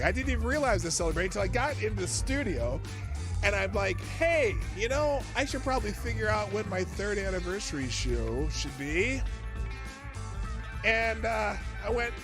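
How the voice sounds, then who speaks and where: A man hosting a live talk stream from a studio, chatting casually in a rambling, animated way that repeats phrases and drops the odd swear word. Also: high-pitched, creaky. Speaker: livestream talk show host. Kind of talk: casual live-show chat. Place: home studio. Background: faint music.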